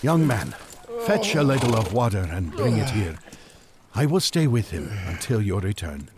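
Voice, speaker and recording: Devout ancient magian on a quest, deepish, formal n solemn, really expressive delivery, audio drama. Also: male voice, normal speed, over a noisy crowd. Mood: prayerful, resolute. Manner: solemn, formal, old-fashioned speech. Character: earnest, devout Magian traveler on an urgent quest.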